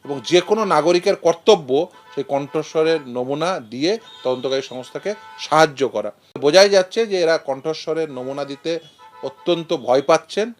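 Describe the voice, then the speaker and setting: A man giving a formal, measured comment, rephrasing as he goes. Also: on the high side, soft background music.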